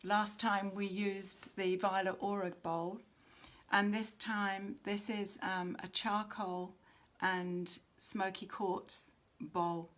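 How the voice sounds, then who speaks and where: Slow-paced woman giving a spiritual reading. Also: medium pitch, little pitch movement, fairly bright tone. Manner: measured, pausing often mid-sentence. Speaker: host of a spiritual card reading. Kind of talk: live spiritual oracle reading, addressing viewers.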